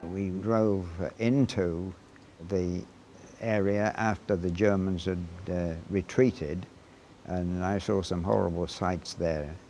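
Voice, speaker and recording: An elderly man, a war veteran, reminisces in an interview in a halting, understated way. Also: low-pitched, steady tone, mellow, slight background noise. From Britain. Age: 80s or older.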